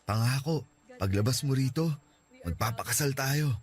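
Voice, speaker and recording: An actor playing a devoted young boyfriend, gentle and reassuring, breaking into tearful begging in a radio drama. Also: male voice, mid-range voice, normal speed, soft background music, natural voice.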